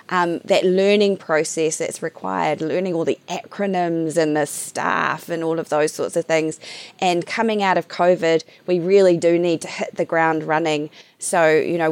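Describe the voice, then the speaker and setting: A middle-aged woman with a New Zealand accent, a local board member answering podcast interview questions in a chatty way with many fillers. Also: fairly low pitch.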